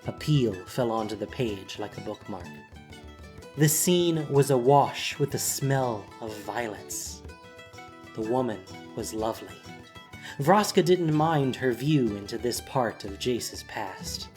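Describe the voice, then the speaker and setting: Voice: mid-range voice, animated, slow pace, darkish tone, faint music behind. A narrator reading a scripted, dramatic story for an audio drama.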